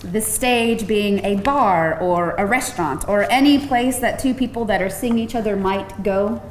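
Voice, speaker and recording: A woman's voice: medium pitch, a little slow, natural voice. A woman playing a skeptical, wry young actress in a live screenplay table read. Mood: skeptical, sardonic. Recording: roomy live venue, faint noise.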